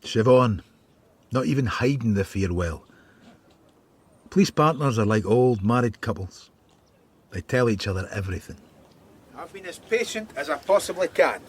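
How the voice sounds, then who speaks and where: An actor playing a brooding, sardonic middle-aged police detective in a radio drama, with a husky voice. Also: male voice, medium pitch, sing-song, normal speed, pauses often, slight background noise, close to an everyday voice. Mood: pressing.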